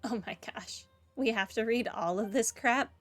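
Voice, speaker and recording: A woman's voice: on the high side, moderate pace, a bit bright. A woman hosting a video game playthrough, chatting casually and reading game text aloud. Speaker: gaming video host. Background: game music.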